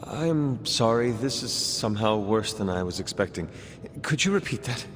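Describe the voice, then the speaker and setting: A man playing a solemn, determined warrior hero in a video game, with formal, measured speech. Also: lowish voice, lively intonation, lightly acted. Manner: formal, measured, few contractions. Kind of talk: video game cutscene acting. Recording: long reverb, faint music.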